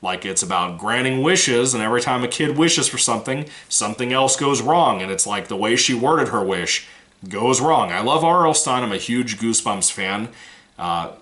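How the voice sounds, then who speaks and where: Rambling man with a lively, bright voice. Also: on the low side. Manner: rambling, frank, swears casually. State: voice still recovering from a cold. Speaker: movie reviewer. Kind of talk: casual spoken review.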